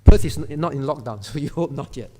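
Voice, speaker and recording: A man's voice: medium pitch, lively intonation, brisk, mellow. A male pastor, preaching a sermon in a conversational way and often turning to the congregation. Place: church hall. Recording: reverberant church hall.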